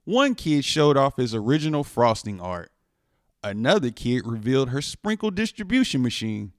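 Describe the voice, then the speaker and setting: A man reading a children's picture book aloud in an expressive way, stretching words for dramatic emphasis. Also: mid-range voice, normal speed, no echo, studio quality. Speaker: storytime reader.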